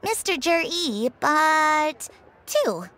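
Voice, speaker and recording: A woman playing a polite, businesslike antique shopkeeper in a video game, with courteous, measured sales patter. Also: high-pitched, big pitch swings, bright, forceful tone, full character voice. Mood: courteous, salesy.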